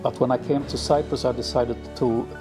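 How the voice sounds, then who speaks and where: A middle-aged man who grew up in Sweden, answering interview questions in an unhurried way with many fillers and restarts. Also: mid-range voice, fairly even intonation. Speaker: villa owner. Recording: music playing underneath.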